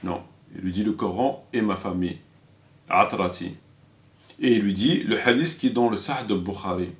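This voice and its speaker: Low-pitched man, halting commentary. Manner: halting and repetitive, searching for words. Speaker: religious commentator and video presenter. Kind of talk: informal spoken critique addressed to viewers.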